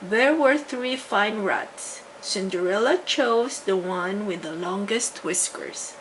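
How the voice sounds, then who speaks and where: Slow, expressive older woman storyteller. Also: mid-range voice, rough recording. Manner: deliberate, dramatic emphasis for children. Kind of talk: expressive children's bedtime story narration. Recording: faint background noise.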